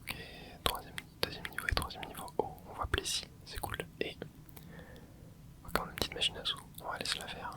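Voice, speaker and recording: A young man hosting an ASMR channel, softly whispering a relaxed running commentary as he plays. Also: brisk. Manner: soft close whisper, relaxed running reactions. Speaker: ASMR gaming channel host.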